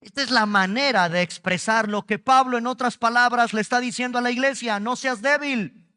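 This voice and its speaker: A man's voice: very high voice, a little fast, sharp tone, clean tone, big-room sound. A man with a Mexican Spanish accent preaching a sermon at a church service, stretching key words and speaking straight to the congregation. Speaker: pastor.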